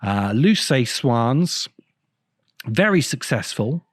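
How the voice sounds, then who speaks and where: A man hosting a podcast, delivering a reflective monologue in a grave, deliberate way with emphatic phrasing. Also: mid-range voice, sing-song, dry recording, studio quality. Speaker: podcast host. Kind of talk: reflective monologue, video essay.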